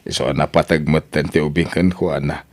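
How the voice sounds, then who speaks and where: Elderly Ilocano family patriarch, male actor, low bass register, sentimental and devoted, audio drama. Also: flat delivery, normal speed. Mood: earnest, tender.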